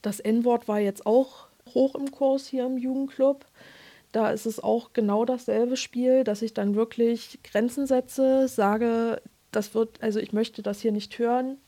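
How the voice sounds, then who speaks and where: A woman youth club worker speaks in a measured, reflective way. Her voice is mellow and soft, and she often pauses to find the right phrase. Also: a little high, steady tone. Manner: thoughtful, searching for words, measured. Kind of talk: interview clip, reflective explanation.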